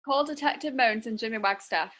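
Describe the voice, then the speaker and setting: A woman's voice: fairly high pitch, brisk, heavy background noise, lightly acted. A woman playing an officious court clerk in a radio play, formal and commanding. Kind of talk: comic radio play acting.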